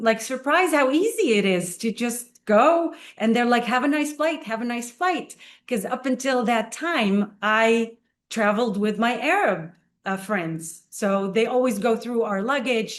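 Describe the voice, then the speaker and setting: A woman from Israel, a playwright and performer, telling her personal story in a sit-down interview in an earnest, measured way. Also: mid-range voice. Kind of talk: conversational interview.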